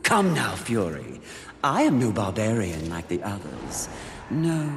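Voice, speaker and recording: A suave demonic tempter in a fantasy game. He draws his words out slowly and swoops wildly in pitch, teasing and condescending. A long reverb trails the theatrical delivery. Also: huge pitch swings, fairly bright tone, exaggerated voice. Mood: coaxing. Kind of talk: theatrical video game villain acting. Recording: reverberant game capture, faint noise.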